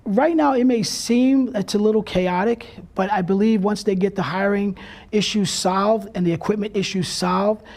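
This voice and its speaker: A man's voice: sits high, steady tone, moderate pace, darkish tone. Older-hand program director guy answering interview questions off the cuff.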